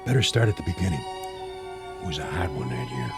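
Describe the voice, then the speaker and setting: An older actor playing a talkative former ballplayer who rambles through an old story, in a radio drama. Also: male voice, very deep voice, big pitch swings, quick pace, loud music behind the voice. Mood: reminiscing.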